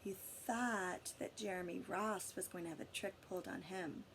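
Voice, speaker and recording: A woman reading a picture book aloud expressively to children, pausing to guide them with questions. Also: lowish voice, fairly bright tone, a little hiss or hum. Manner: pauses to question and guide young listeners. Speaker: read-aloud storyteller for children.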